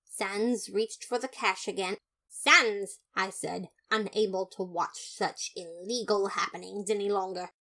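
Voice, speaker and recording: A woman's voice: medium pitch, steady tone, moderate pace, few pauses, low-quality recording. A woman reading first-person fan fiction as a dramatized, emphatic narration. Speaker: podfic reader.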